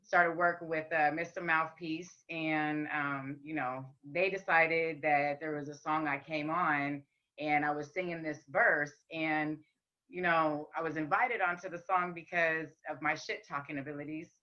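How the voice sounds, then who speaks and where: A woman with a deep, raspy voice, talking candidly as a podcast guest with frequent ums and restarts. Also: fairly even intonation, a little slow, muffled recording. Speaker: podcast guest, rapper and singer. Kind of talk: casual interview chat.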